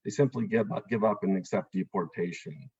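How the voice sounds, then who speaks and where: A man's voice: deep voice, a little fast, a little mellow, husky, muffled recording. A man, an immigration attorney, giving an earnest, explanatory town hall talk over a video call, with frequent "um"s and "uh"s.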